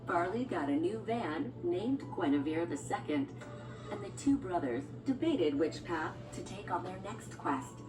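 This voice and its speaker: A woman's voice: on the low side, animated, a little slow. A woman narrating a scripted children's storybook as a read-along storyteller. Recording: echoey, distant, music bed. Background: music and sound effects.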